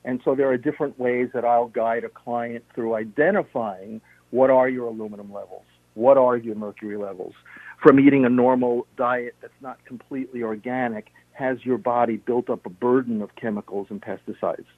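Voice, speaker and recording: A man's voice: medium pitch, fairly even intonation, normal speed, no echo. A man on a radio interview, steadily explaining health tips and listing points one by one. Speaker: health practitioner guest. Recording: narrow phone-line sound.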